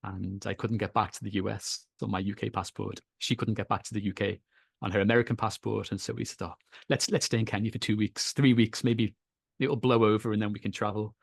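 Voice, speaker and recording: A man with a Liverpool accent, a podcast guest telling his story in an easy, measured way, with small repeats. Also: on the low side, steady tone, creaky, slightly rough, no room sound, studio quality. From England. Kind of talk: relaxed storytelling in an interview. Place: home in Kenya.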